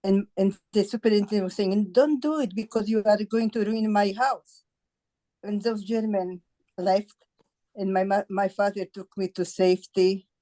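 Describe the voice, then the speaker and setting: An elderly woman with a Slovak accent recounts her own life. Her voice is soft-edged and relaxed, and she searches for words in her second language as she gives testimony. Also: medium pitch, poor audio. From Bratislava, Slovakia. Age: 80s. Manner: halting, searching for words in non-native English. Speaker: Holocaust survivor giving testimony. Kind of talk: personal testimony in a group discussion.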